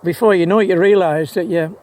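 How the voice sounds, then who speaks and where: A man's voice: high-pitched, fairly even intonation, brisk, a little mellow, slight background noise. A man rambling casually and enthusiastically about his hobby, often repeating words as he hesitates. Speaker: amateur radio hobbyist vlogger.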